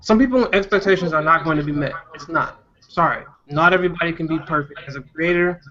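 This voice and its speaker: A man's voice: on the high side, fast talker, pushed and bright. A man hosting a casual panel discussion, giving his opinions in a conversational way. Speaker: discussion host. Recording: dull lo-fi podcast audio.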